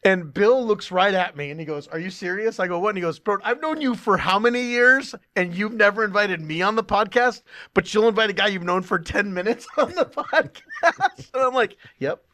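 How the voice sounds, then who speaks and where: A man's voice: high voice, expressive, moderate pace, sharp tone. A man hosting a podcast, teasing his guest and laughing easily in casual chat. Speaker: podcast host.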